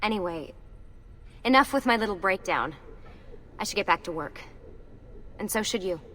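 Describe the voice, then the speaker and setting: A woman's voice: mid-range voice, lively intonation, normal speed, bright, forceful tone, a little hiss or hum. A woman playing a weary, anxious young resistance member in a video game cutscene, downcast and hesitant. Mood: resigned.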